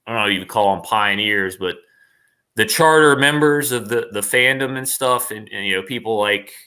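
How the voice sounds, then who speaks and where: A man with a fairly low voice and a bright, forceful edge. He speaks as a guest in a casual interview, rambling with enthusiasm and doubling back on his phrases. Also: normal speed. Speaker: interview guest, online performer.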